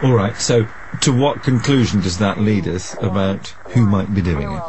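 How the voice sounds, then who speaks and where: A man's voice: lowish voice, moderate pace, noisy background. A man presenting a radio documentary, mixing scripted narration with probing questions in a wry, inquisitive manner.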